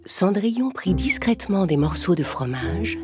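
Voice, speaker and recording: A woman storyteller narrating a scripted fairy tale for children, expressive and clearly phrased. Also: fairly low pitch, moderate pace. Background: music.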